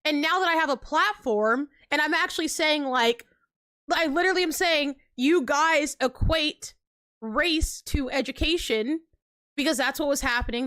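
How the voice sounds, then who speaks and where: A young woman talks to her live-stream viewers in a high, bright voice, riffing casually and emphatically with plenty of fillers. She is recorded close and dry, with no room sound. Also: dry recording. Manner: animated, filler-heavy, emphatic, frequent laughs and pitch jumps. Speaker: livestreamer.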